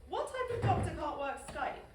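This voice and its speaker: Woman as a haughty official, echoey and animated. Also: very high-pitched, normal speed. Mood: demanding. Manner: disdainful, theatrical. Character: haughty, sardonic female official, unimpressed and mocking. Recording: echoey room, distant microphone, faint noise.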